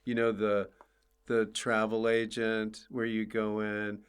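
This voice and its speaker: A man's voice: on the low side, monotone, takes it slowly, clean tone. An American man chatting casually as the host of a comedy podcast. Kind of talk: casual chat.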